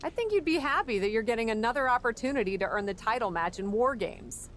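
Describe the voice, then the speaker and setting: A woman playing a cheeky, quick-witted female wrestler and acting manager in a video game cutscene. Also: fairly high pitch, animated, background noise, natural voice. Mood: guarded. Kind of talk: scripted game cutscene dialogue.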